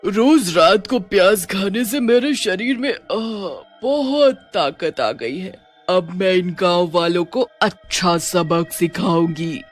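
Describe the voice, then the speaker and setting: Very slow, menacing witch. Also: on the low side, animated, soft background music. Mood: scheming. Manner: slow, gloating, menacing delivery with cackles. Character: gloating bloodthirsty female witch who craves onions. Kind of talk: dramatized Hindi horror folktale.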